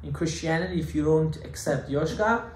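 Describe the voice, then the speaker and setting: A man giving an animated Torah class as a rabbi, emphatic and full of rhetorical questions, mixing Hebrew terms into his English. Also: fairly high pitch, a little fast. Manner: emphatic, rhetorical questions, acts out objections loudly. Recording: echoey room with faint background noise.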